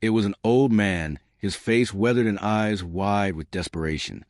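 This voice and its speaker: A man narrating a scripted horror story in a measured, dramatic way. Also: on the low side, a little mellow, no room sound, clean studio sound.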